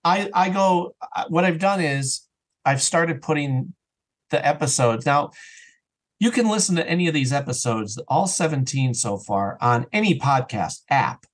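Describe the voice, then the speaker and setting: A man with a fairly high voice speaks as a guest in a casual interview. He is earnest and animated, talking like a podcaster venting to a friendly colleague. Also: measured pace. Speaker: interview guest, audio drama creator and podcaster.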